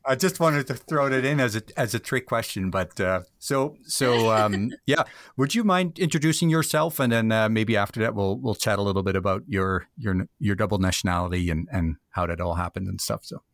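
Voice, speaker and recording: A man co-hosting a casual podcast, easygoing and quick to laugh. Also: lowish voice, fairly bright tone, no room sound. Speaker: podcast co-host.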